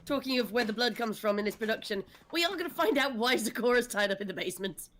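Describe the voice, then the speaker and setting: Talkative, higher-pitched woman hosting. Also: lively intonation, fast, a bit bright. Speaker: host of an audio drama discussion panel. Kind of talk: casual livestream chat.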